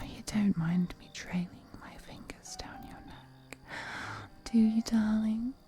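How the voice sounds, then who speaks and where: Woman acting a slow, whispering, seductive ancient vampire lady. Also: medium pitch, warm tone. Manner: breathy whispering, slow, teasing, formal courtly phrasing. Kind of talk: intimate roleplay audio acting, mostly whispered.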